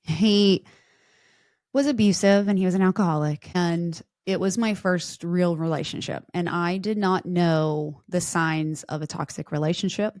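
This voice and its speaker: A woman's voice: lowish voice, unhurried, darkish tone, no echo, clean studio sound. A woman in her forties, a podcast guest, telling personal stories in casual chat and acting out the voices of people in them. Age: 40s.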